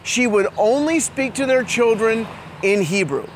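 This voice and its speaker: High-voiced man presenting outdoors. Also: animated, measured pace, slight background noise. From immigrant to Israel. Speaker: host. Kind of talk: scripted presenting to camera. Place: outdoors in a town street.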